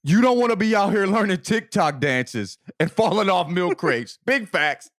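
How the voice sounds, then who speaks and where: An American man in his thirties co-hosting a podcast with casual comic banter, animated, slangy and profane. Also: high voice, moderate pace. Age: 30s. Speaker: podcast co-host. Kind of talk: casual comedic banter and mock-dramatic reading.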